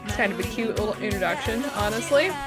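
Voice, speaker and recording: A woman's voice: medium pitch, quick pace. A woman hosting a gaming video, giving informal, rambling let's play commentary. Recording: music behind the voice. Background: game music.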